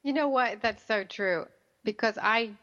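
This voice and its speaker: A woman's voice: fairly high pitch, a little slow, a bit bright. A woman hosting a radio talk show, chatting in a direct, friendly way. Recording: dull lo-fi radio audio.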